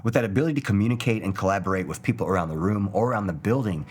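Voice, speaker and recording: A man's voice: fairly low pitch, very fast, a little mellow. A man presenting scripted promotional narration for a product video.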